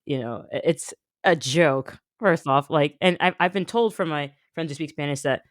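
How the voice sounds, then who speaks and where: A woman hosts a podcast in a very low voice, relaxed and rambling, leaning on fillers and hedges. The sound is dry and close, with no room echo. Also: normal speed. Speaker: podcast host. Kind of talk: casual interview chat.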